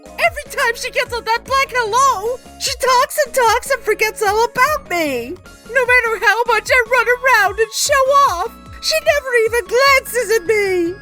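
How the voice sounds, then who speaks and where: An actor voicing an excitable, theatrical little dog in a game fandub. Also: very high-pitched, measured pace, soft background music, heavily acted. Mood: miffed. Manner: theatrical, exclamatory. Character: excitable, dramatic, loyal little dog ghost pal.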